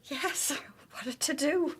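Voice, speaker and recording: An actress playing a strong-willed Victorian woman in a radio drama, brisk and direct. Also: high-pitched, a bit bright. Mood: chiding, lively.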